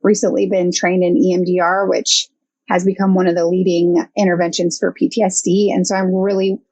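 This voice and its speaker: A woman's voice: on the low side, flat delivery, fairly quick, darkish tone, husky, dry recording, rough recording. Hesitant woman counselor, dry sound. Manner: thoughtful, hesitant with many fillers. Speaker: guest, counseling intern. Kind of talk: casual podcast interview.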